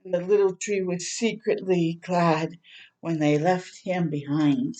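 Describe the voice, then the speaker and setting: A woman reading a picture book aloud to young children in a gentle, expressive storytime manner. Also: on the low side, measured pace, rough recording. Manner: gentle, expressive, prompting listeners with questions.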